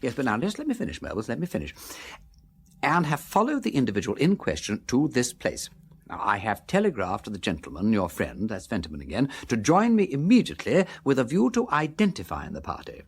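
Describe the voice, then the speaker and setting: An actor playing a breezy, flippant aristocratic English gentleman sleuth in a period radio drama, drawling with tag questions. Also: medium pitch, wildly swooping pitch, a little fast, gravelly, breathy edge, exaggerated voice. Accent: upper-class English. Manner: drawling, flippant, tags questions with what and hmm.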